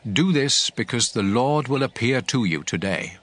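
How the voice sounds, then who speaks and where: A man's voice: medium pitch, lively intonation, normal speed, fairly bright tone, natural voice. An actor playing a commanding male prophet, solemn and declamatory, instructing his priests in a dramatized scripture reading. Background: music.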